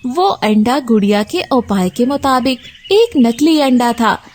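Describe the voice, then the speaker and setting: High-pitched woman narrating children's stories. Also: lively intonation, measured pace, a little mellow. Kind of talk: scripted children's story narration.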